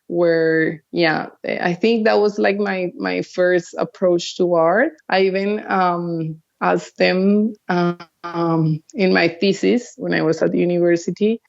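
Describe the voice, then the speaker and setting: Brief: Colombian-accented woman guest, slow and unhurried, hesitant and searching for words, dull lo-fi call audio. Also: lowish voice, gravelly, a little mellow. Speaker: guest artist. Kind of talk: casual interview chat.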